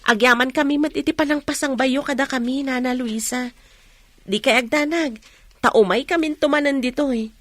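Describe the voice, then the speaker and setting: A woman's voice: high voice, moderate pace, a bit bright, no echo. A woman with an Ilocano Filipino accent acting a friendly young villager in a comedy radio drama. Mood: polite.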